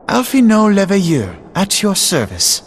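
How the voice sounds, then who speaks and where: A polished young diplomat. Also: male voice, sits high, huge pitch swings, measured pace, slight background noise, heavily acted. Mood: courteous. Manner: formal, polished, courteous. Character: young, earnest, highborn male scholar-diplomat. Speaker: game character. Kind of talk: video game cutscene dialogue.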